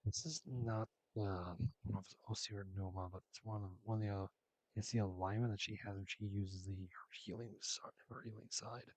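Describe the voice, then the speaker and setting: A man giving casual, halting commentary while playing through a video game. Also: fairly low pitch, fairly even intonation, no echo, low-quality recording. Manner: hesitant, halting asides. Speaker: gamer commenting on own playthrough. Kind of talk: casual let's play commentary. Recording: game music clearly behind the voice. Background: game music.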